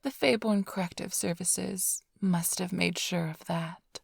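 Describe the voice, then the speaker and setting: A woman's voice: lowish voice, steady tone, a little slow, no room sound, full character voice. A woman playing a flirtatious, smug female vampire thief in a whispered, teasing ASMR roleplay, drawling close to the microphone. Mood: hushed, appraising. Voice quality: breathy, whispery. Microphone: close-up studio microphone, dry.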